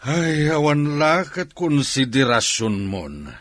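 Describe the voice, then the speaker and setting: A man's voice: a little high, very animated, slow pace, fairly bright tone, no echo, exaggerated voice. A man playing a considerate, concerned boss in a radio drama, speaking earnestly and with emphasis. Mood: concerned, reproachful.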